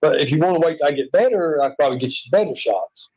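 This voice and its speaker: A man's voice: on the high side, animated, racing, darkish tone, low-quality recording. Southern man rambling from a hospital bed. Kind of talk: rambling joking chat. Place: hospital room.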